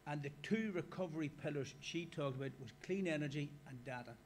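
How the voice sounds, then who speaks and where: A man's voice: fairly high pitch, brisk, slight background noise. A man with an Irish accent giving a conversational workshop lecture and putting questions to the audience. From Ireland. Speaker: guest lecturer. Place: lecture hall.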